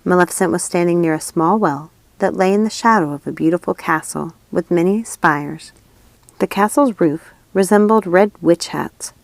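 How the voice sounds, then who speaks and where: A woman's voice: on the low side, a little mellow, dry recording. A woman reading a fairy tale aloud as scripted storybook narration. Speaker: audiobook reader.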